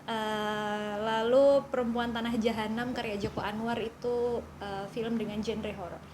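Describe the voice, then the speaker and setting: A woman's voice: on the high side, fairly even intonation, measured pace, very noisy. A woman from Yogyakarta answering interview questions eagerly, with some fillers.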